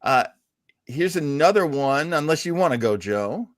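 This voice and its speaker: A man's voice: a little high, expressive, normal speed, dry recording, clean studio sound. A man co-hosting a casual fan podcast, talking in an informal conversational way. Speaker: podcast co-host.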